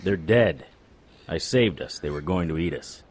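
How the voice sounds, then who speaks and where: Here is a gruff, sardonic tough man in a radio drama. His voice is very low and rough-edged, and he delivers everything with dry wit. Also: lively intonation, a little slow, heavily acted. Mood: wry. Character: gruff, wry man who survived a shotgun blast.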